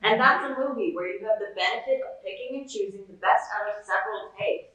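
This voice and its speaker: Anxiety personified as a gloomy, needling young man, played by a teenage girl, heard far from the mic in a very reverberant space. The voice is sharp and bright. Lines come out blunt and sarcastic, as student readers theater. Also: mid-range voice, a little fast, lightly acted. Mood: scornful. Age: teens. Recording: very echoey room, distant microphone.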